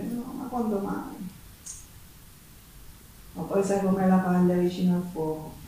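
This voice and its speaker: A woman's voice: lowish voice, mellow, a little hiss or hum, close to an everyday voice. Poor Sicilian working woman, played by an actress: stage monologue, earnest and colloquial, passionate, recorded echoey and distant. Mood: confiding. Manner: earnest, colloquial storytelling with dialect words and pauses. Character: poor Sicilian working woman recounting her hard life, passionate, plain-spoken. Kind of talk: theatrical monologue.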